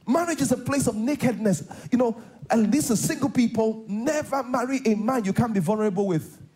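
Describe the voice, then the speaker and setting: A man's voice: extremely high pitch, fairly quick, mellow. A middle-aged Nigerian man preaching a sermon on a large church stage, repeating key lines and calling out for responses from the congregation. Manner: repetitive, call-and-response preaching. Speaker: pastor.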